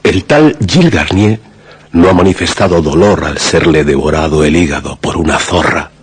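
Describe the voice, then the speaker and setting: A man's voice: rumbling low, moderate pace, exaggerated voice. An actor playing a grave royal steward who speaks formally and deferentially to his king in a radio drama. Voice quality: husky.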